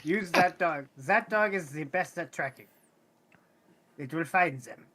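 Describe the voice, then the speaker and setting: Man as a deadpan, curt robot shopkeeper. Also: a little high, a little slow. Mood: impatient, scornful. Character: gruff, blunt robot shopkeeper, dismissive of organics.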